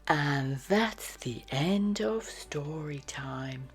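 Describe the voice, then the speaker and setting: A woman narrating a children's storybook in a dramatic, expressive read-aloud style. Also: deep voice, very slow, soft background music. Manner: dramatic, with hushed phrases and sudden exclamations.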